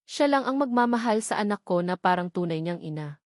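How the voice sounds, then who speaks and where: A woman voicing a remorseful young mother's farewell journal entry, halting and sorrowful, in a romance audio drama. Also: mid-range voice, lively intonation, dry recording, studio quality, close to an everyday voice. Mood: sorrowful, apologetic.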